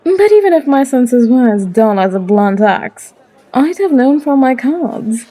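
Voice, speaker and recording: A woman playing a warm, teasing barkeep and fortune teller in a character audio roleplay, coaxing and unhurried as she calls the listener love. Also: sits high, big pitch swings, heavily acted, low-quality recording. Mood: playful, teasing.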